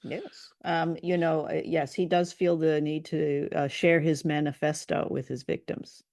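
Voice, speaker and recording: A man co-hosting a show in casual review chat, with deadpan, teasing dry humour. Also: on the high side, a little slow, gravelly, dry recording. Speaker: co-host of a show reviewing old radio dramas.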